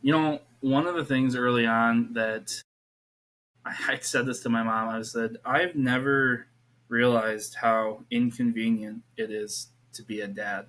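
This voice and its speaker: A man in his thirties tells stories from his life as a youth pastor and talks about faith in a relaxed podcast chat. His pitch stays in a narrow, even band, and his sentences wander through fillers and restarts. He comes across as sincere and unpolished. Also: a little slow. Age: 30s. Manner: earnest, rambling with many restarts and fillers. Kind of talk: casual faith conversation.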